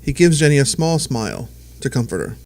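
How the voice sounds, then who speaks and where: A man's voice: medium pitch, sing-song, brisk, creaky, darkish tone. A man giving scripted, present-tense narration as the storyteller of an audio drama.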